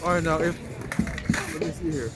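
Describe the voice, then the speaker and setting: Male street interviewer, slightly high-pitched, informal and conversational, loud music behind him. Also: a little fast.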